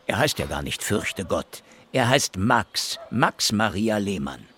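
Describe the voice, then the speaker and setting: Male police investigator, radio drama: officious, suspicious, lowish voice with huge pitch swings, faint noise behind. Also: big pitch swings, a little slow, bright, forceful tone, exaggerated voice. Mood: pressing, suspicious. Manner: officious, interrogating. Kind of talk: German radio play acting.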